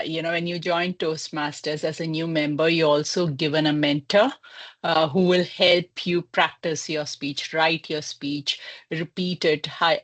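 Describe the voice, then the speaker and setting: A woman speaker at a workshop giving encouraging, measured advice with some fillers. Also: sits low, fairly bright tone.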